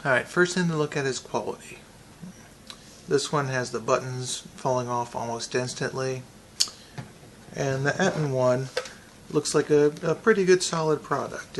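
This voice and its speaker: Gravelly man giving a casual product review. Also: medium pitch, background noise.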